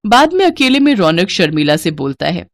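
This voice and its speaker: A woman storyteller with a fairly low voice. She reads scripted story narration. The sound is dry, without room echo. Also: sing-song, normal speed.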